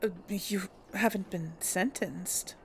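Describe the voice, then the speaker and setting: A woman's voice: medium pitch, takes it slowly, vocal fry, fairly bright tone, slight background noise. A woman playing a video game, chatting casually and laughing often, sometimes speaking as her character. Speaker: game streamer. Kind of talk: casual let's-play commentary with in-character lines.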